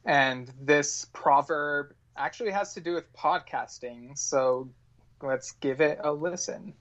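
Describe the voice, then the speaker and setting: A man's voice: fairly high pitch, slow pace, a bit bright. A man co-hosting a podcast as a guest, explaining things in casual, hesitant chat full of fillers. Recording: slightly dull, lo-fi audio.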